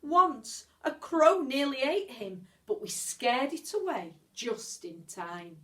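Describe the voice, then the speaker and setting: A woman reading a children's story aloud like a teacher, expressively, with measured pauses and stretched words. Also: high voice, big pitch swings.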